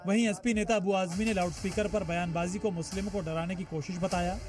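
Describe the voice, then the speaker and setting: A man's voice: high voice, fairly even intonation, quick pace. An Indian man reading a scripted news report as a reporter's voice-over. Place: voice-over with music bed behind.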